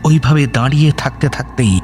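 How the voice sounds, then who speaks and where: A man narrates a horror suspense audio story in a measured, dramatic way with deliberate pauses. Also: medium pitch. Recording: music under the voice. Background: background music.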